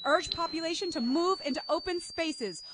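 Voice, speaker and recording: A woman's voice: extremely high pitch, moderate pace, background noise. A woman playing a grave radio announcer reporting an emergency evacuation in an amateur radio play. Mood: urgent warning.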